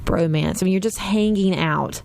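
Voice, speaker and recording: A woman's voice: lowish voice, moderate pace, creaky, darkish tone. A young woman giving casual opinions as a radio panelist in a studio. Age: young adult.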